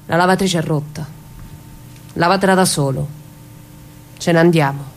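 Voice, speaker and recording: A woman playing a furious, fed-up young mother confronting her partner in a radio drama argument. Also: moderate pace. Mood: defiant. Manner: confrontational, accusing. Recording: noisy recording with low rumble.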